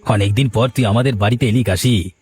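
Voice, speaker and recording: Man voicing a quick-talking village working man. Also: lowish voice, a little mellow, faint music behind, close to an everyday voice. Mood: playful. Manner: familiar, plain-spoken. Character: good-natured, plain-spoken village working man. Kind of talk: cartoon dialogue.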